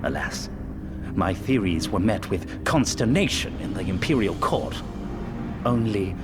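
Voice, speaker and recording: An actor playing a proud, coldly superior imperial scientist in a video game, lecturing precisely and pompously over prominent music. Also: male voice, deep voice, animated, a little slow. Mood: smug, lecturing. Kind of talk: dramatic video game exposition.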